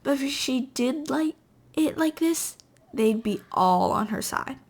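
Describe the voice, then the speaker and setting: High-voiced woman reading a novel aloud. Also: expressive, slightly rough. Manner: halting, stumbling over words and correcting herself. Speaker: amateur reader.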